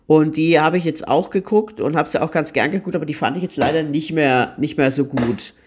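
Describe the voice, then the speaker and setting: A man's voice: a little high, fairly quick, noisy background. A man co-hosting a podcast, giving a casual review and often hesitating and repeating words as he searches for phrasing. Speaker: podcast co-host recommending series.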